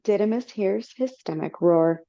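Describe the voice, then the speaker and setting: A woman's voice: mid-range voice, a little slow, mellow, no room sound. A woman narrating stage directions in a casual way for a live reading over a video call, with slips and self-corrections. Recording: dull lo-fi audio.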